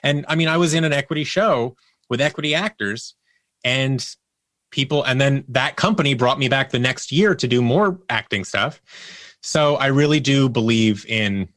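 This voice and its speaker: A man chats as a guest on an interview show, with a mid-pitched voice. He tells stories conversationally and slips into quoted back-and-forth dialogue. The sound is dry, with little room around him. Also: normal speed, fairly bright tone, dry recording. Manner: acts out remembered dialogue in anecdotes. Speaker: podcast guest, audio producer and actor. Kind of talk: casual interview storytelling.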